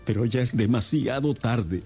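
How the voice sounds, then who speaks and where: A troubled, earnest gentleman of middle age, played by a male actor in a radio drama. His voice is soft-edged and mellow, with agitation running through it, and music plays faintly underneath. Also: mid-range voice, moderate pace, natural voice. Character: distressed respectable older father, a Victorian gentleman. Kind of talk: Spanish-language radio drama acting.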